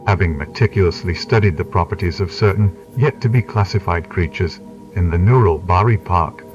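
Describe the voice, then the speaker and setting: A man reading scripted documentary narration in a formal, measured way. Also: low voice. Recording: distant, dull lo-fi analog video sound. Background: clear music.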